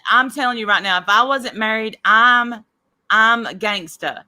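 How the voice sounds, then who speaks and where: A woman's voice: a little high, normal speed, pushed and bright, no echo. A woman in her forties chatting in a brash, joking way as a livestream panel host. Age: 40s. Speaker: livestream panel host, part-time comedian. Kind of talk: casual joking chat.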